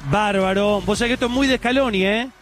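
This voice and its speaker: A man with an Argentine accent hosting a radio sports show, talking fast and excitedly in informal chat. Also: sits high, soft background music. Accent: Argentine Spanish.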